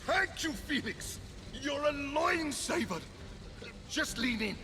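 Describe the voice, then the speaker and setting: 높고 요란한 억양의 허세 가득한 전쟁의 신. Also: male voice, very high voice, animated, takes it slowly, noisy background, full character voice. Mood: sheepish, confiding. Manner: theatrical, blustering, flustered. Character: blustering, vain, touchy war god, secretly sheepish. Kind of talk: video game cutscene voice acting. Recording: music behind the voice.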